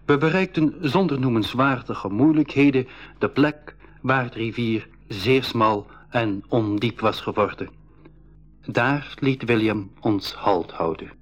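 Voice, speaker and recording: An actor narrating an adventure in the first person as an expedition member, with measured, scripted storytelling. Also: male voice, medium pitch, faint music behind, close to an everyday voice. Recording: dull 1980s radio recording.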